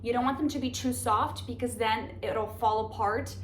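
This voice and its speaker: A woman's voice: on the high side, fairly even intonation, normal speed. Polish-accented woman hosting a cooking demo. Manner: chatty, enthusiastic step-by-step instruction. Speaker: cooking show host. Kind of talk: cooking demonstration. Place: home kitchen. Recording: echoey kitchen with background noise.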